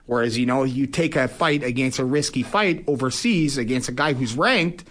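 A man's voice: medium pitch, moderate pace, a bit bright. A man speaks as an athlete guest in a casual interview, conversational and often tagging phrases with "you know" and "right?". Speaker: guest, professional boxer.

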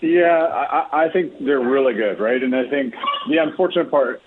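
A man with a husky voice giving conversational sports analysis as a guest, wordy and often restarting his sentences. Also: medium pitch, animated, normal speed, noisy background. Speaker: sports reporter, show guest. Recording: tinny, narrow phone-like line.

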